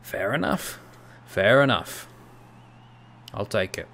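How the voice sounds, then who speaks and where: Gravelly young man streaming a game. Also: lowish voice, sing-song, slow. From half Cypriot. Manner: chatty, reacts loudly to the game, laughs, chats with viewers. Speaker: gaming streamer. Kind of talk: casual live-stream commentary. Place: small room at home.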